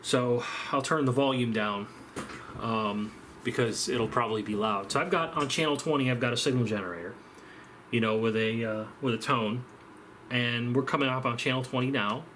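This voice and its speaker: A man giving an informal, unscripted technical explanation as a demonstrator, halting as he thinks aloud. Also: mid-range voice, a bit bright, slight background noise. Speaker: presenter of an electronics demonstration.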